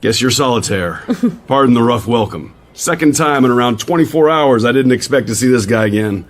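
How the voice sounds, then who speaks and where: A genial agent played by a man talks in a medium pitch. He comes across as a loyal, easily flustered lawman in a radio thriller. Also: animated, a little fast, natural voice. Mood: relieved, businesslike. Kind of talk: radio drama acting.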